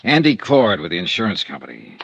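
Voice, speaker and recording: A man's voice: lowish voice, big pitch swings, fairly quick, vocal fry, fairly bright tone, dry recording, highs cut off, full character voice. A man playing a confident insurance investigator in 1950s radio drama, clipped, firm and matter-of-fact. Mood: firm, frank. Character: confident, plain-spoken male freelance insurance investigator. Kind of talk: 1950s radio detective acting and narration.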